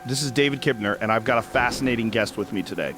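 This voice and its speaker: A male actor plays a curious but skeptical talk show host in a radio drama. His mid-pitched voice is conversational and probing, over a music bed. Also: fast, a bit bright, close to an everyday voice. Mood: intrigued, introducing.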